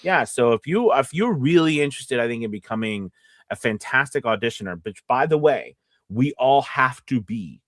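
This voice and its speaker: A man hosting a casual live teaching chat on a close mic, rambling a little as he thinks out loud and checks in with his listeners. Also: mid-range voice, animated, normal speed, dry recording. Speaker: host and voice acting coach.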